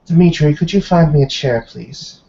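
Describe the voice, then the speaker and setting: A man voicing a stern, composed elderly matriarch in a tabletop roleplay, speaking in a calm, formal, measured way. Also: on the high side, creaky, voice pushed up. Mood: stern disappointment. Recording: dull, noisy online call audio.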